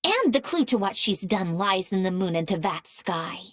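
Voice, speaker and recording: A woman voicing an excitable, obsessive young researcher in a video game cutscene, thinking aloud in theatrical bursts. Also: medium pitch, normal speed, no room sound, clean studio sound. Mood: urgent, excited. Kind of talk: animated game cutscene voice acting.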